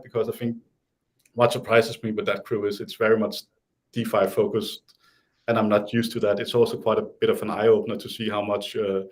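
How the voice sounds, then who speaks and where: A man with a Danish accent, talking casually as an interview guest, hesitant with many restarts and fillers. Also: fairly low pitch.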